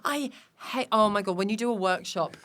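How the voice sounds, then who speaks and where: A woman's voice: medium pitch, fairly quick, a bit bright. A British woman speaking as a comedian guest in a casual interview, rambling and emphatic, with some swearing. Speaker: clown performer and teacher.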